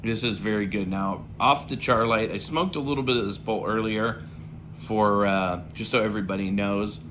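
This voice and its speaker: A man with a slightly raspy voice, still getting over an illness, talking through a pipe tobacco review in a relaxed, rambling way with lots of fillers. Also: on the low side, normal speed, background noise. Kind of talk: casual spoken review.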